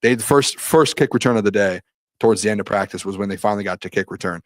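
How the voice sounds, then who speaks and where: Low-voiced man recapping football practice. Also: fast talker, no room sound. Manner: rambling, lots of restarts, reads viewer questions aloud. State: losing his voice, clears throat. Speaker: sports show host. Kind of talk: solo live-stream recap, reading chat comments.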